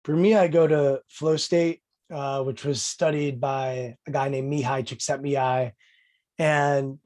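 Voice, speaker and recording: Brief: man in his thirties, mid-pitched voice, warm and eager, talking on a panel call. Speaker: mental performance consultant. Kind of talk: panel discussion on a video call.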